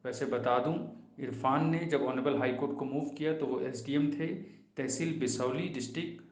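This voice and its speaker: A man's voice: mid-range voice, steady tone, normal speed, a little mellow, reverberant. A man presenting an explanatory legal commentary in an emphatic way, mixing in English legal terms. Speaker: host of a legal explainer channel.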